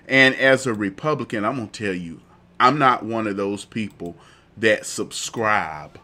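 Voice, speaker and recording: A man hosting a political talk show, working through a nagging cough as he delivers his commentary. His voice is low and bright, and it carries a forceful edge. He circles back over the same phrases and builds steadily toward heated indignation. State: fighting a cough.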